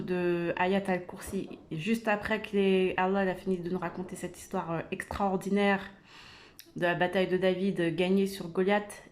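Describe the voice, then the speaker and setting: Earnest woman with a flat, low voice. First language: not Arabic. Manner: hesitant, many fillers and restarts, earnest. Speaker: religious video creator. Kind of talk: informal spoken religious exhortation.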